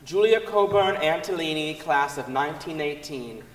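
A man's voice: fairly high pitch, expressive, moderate pace. A man giving a dramatic reading on stage. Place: large concert hall stage, before an audience. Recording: distant, echoey concert hall.

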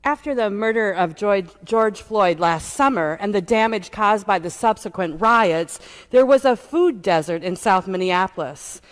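A woman's voice: medium pitch, lively intonation, unhurried, few pauses, long reverb tail. A woman pastor preaching from a lectern, telling a personal story in a conversational way. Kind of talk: sermon and unison prayer. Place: church sanctuary at a lectern. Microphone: lectern microphone.

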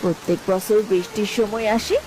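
A woman's voice: mid-range voice, fairly even intonation, fairly quick, over music, lightly acted, poor audio. A woman voicing a bossy but concerned village wife and mother in a cartoon, with an exclamatory way of talking. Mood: concerned, advising. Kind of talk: Bengali cartoon voice acting.

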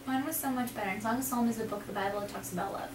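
A woman's voice: fairly high pitch, rapid-fire. A young American woman co-hosting a casual, playful chat, teasing and giggling as she answers questions in a small storeroom. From United States. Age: young adult. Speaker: co-host. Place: small cluttered storeroom indoors. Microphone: far camera mic. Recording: echoey room, distant mic, faint hiss.

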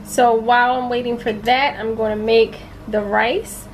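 Slow-talking woman in a home kitchen. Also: a little high, a little hiss or hum, low-quality recording. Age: adult with kids and a husband. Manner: relaxed, unhurried step-by-step explaining. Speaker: cooking vlogger. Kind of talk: casual cooking narration.

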